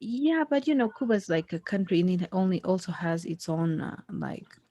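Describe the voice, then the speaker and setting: A woman's voice: fairly low pitch, animated, moderate pace, dark tone, dry recording. A woman chatting loosely in a one-on-one conversation lesson, with many fillers and restarts. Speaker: conversation tutor. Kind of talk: casual conversation practice.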